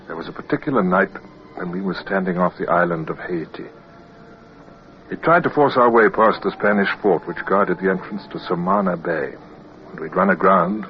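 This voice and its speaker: Young British naval officer male actor, lowish voice, deferential yet persistent, old radio drama with muffled, hissy sound. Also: lightly acted. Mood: grave reflection. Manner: hesitant, respectful, formal. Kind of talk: radio drama acting with first-person narration.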